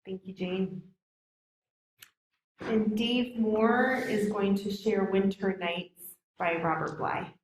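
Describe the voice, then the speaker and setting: A woman's voice: medium pitch, steady tone, slow pace, pauses often. A woman leading a service and introducing readers in an informal way. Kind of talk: informal hosting. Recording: echoey room.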